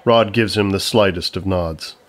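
Lower-pitched male narrator. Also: normal speed. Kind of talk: scripted comedy narration.

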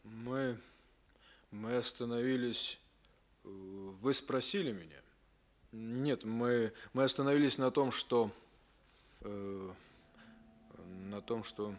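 Radio play: male ex-convict under interrogation, played by an actor, medium pitch, cagey, stumbling, repeats himself. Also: takes it slowly, pauses often, natural voice. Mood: flustered, nervous. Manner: evasive, hesitant, repeating himself. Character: cagey, nervous male ex-convict crime suspect under questioning. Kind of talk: 1960s Soviet radio play acting.

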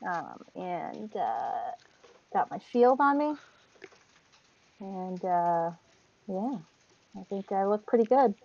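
Relaxed woman on a video call. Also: mid-range voice, takes it slowly, gravelly, long pauses, dull audio. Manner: relaxed, hesitant with fillers. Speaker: player. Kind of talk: in-character self-description. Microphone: webcam video call.

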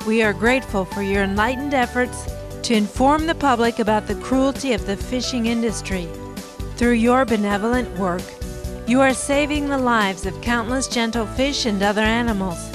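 Woman narrator, soft slow careful reading, fairly high voice, music under it. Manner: gentle, measured, deliberate delivery. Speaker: program narrator. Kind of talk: slow scripted documentary narration.

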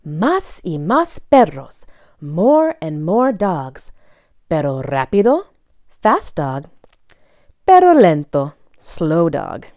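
A woman reading a children's picture book aloud in a bilingual read-aloud style, expressively and deliberately, phrase by phrase. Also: low voice, big pitch swings, mellow, no echo, studio quality.

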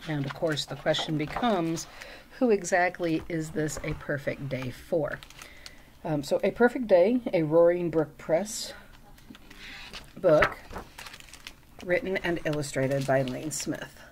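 Older-ish lady doing storytime read aloud, deep voice, stretches words for emphasis, bit of webcam hiss. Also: takes it slowly, long pauses. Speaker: storytime reader. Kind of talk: picture-book read-aloud with chatty asides. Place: small room at home.

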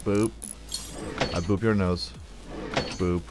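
A man's voice: low-pitched, steady tone, slow pace, music in the background. A man casually commenting on a mechanic game he plays, joking and talking to the parts as he removes them. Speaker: gameplay commentator. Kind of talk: casual let's play commentary.

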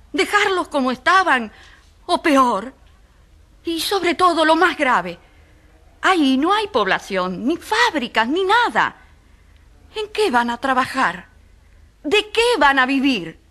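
A woman's voice: sits high, pushed and bright, full character voice. An actress in a radio drama playing a passionate, indignant woman who defends the poor, speaking emphatically in repeated, building lists.

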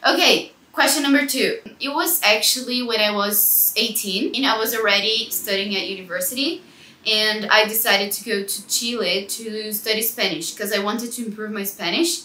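Casting: woman, twenties, Brazilian accent, bright crisp-edged voice, informal vlog chat, roomy indoor sound. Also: medium pitch, moderate pace, a little hiss or hum. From Brazil, São Paulo. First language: Portuguese. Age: 20s. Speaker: travel vlogger. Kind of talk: casual chat to camera, answering questions. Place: small living room indoors. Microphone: clip-on lapel mic.

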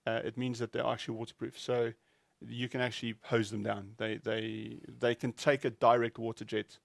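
A man's voice: medium pitch, little pitch movement, moderate pace, vocal fry, no room sound. A middle-aged man explaining product specifications in an informal talk, a little hesitant and often repeating words as he searches for details. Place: small indoor studio set at a desk.

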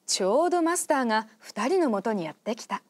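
A woman's voice: on the high side, big pitch swings, fast talker. Woman narrating a radio drama. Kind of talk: scripted narration.

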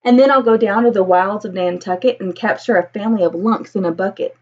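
An American woman in her thirties reads a picture book aloud to children, expressively and with drawn-out emphasis. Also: mid-range voice, slightly rough, highs cut off. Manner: animated storytelling with drawn-out emphasis. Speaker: elementary school teacher reading aloud. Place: small room at home with bookshelf.

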